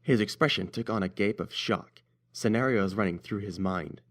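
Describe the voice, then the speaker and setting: Low-voiced man narrating a story. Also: moderate pace. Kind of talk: scripted fan-fiction story narration.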